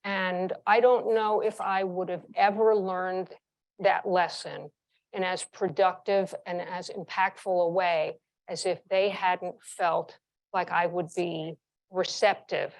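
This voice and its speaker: Woman, sixties, from New York, slightly low voice, frank storyteller, emphatic, interview guest. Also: fairly even intonation, slow, vocal fry, poor audio. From New York, United States. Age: 60s. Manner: frank, vivid anecdotes with emphatic repetition. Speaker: former chief executive, interview guest.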